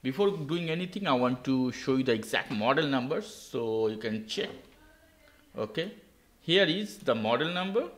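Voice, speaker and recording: A man's voice: medium pitch, expressive, normal speed, reverberant. A man hosting a tech tutorial, walking through a laptop reset step by step in simple, non-native English. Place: indoor desk.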